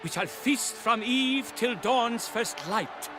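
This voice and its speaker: A man's voice: very high-pitched, lively intonation, slow pace, noisy background, exaggerated voice. A man playing a grandiose tournament herald in a game, proclaiming pompously in rhymed verse. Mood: grand, festive. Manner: declamatory, pompous. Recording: music behind the voice. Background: game music.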